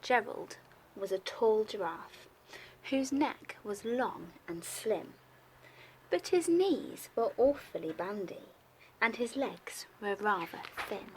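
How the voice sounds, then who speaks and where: A woman's voice: medium pitch, expressive, slow pace, a little hiss or hum, poor audio. A woman reading a story aloud to young children expressively, like a primary teacher.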